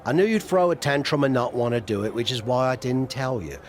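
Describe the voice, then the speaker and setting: A man's voice: mid-range voice, a little fast, slight background noise, lightly acted. A man playing a wry, blunt older wrestler turned manager in video game cutscene dialogue. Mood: firm, coaxing.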